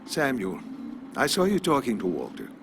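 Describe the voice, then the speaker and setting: A man playing a courteous, philosophical older small-town pharmacist in a video game, talking in a polite, measured, folksy way. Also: mid-range voice, huge pitch swings, background noise. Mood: friendly, confiding. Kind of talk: video game character dialogue.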